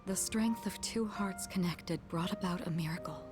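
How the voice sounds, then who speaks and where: An actress playing a composed, authoritative young woman in a video game drama, with formal, deliberate phrasing. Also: lowish voice, lightly acted. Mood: solemn. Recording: music over the voice.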